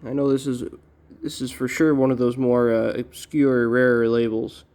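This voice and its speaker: A man giving casual, halting unboxing commentary as a collector, musing to himself in a low-key way. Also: little pitch movement, darkish tone. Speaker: record collector presenting an unboxing.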